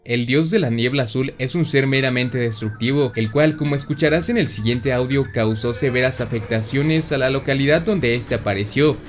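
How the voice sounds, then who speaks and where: A man's voice: mid-range voice, normal speed, few pauses, faint music behind. A man reading scripted narration in the style of a horror documentary.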